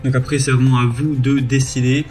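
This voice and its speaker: A man talks through a product review in an informal, explanatory manner. His medium-pitched voice moves little in tone. Music plays behind his narration. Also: flat delivery, fairly quick, darkish tone, low-quality recording.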